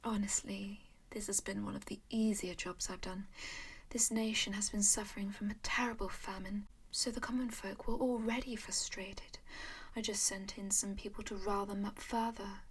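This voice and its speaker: A centuries-old vampire lady speaks in a breathy whisper, soft and doting, never rushing. She is genteel and possessive, calmly scheming as she fusses over her charge, as a woman acts her out in an ASMR roleplay. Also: medium pitch. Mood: matter-of-fact.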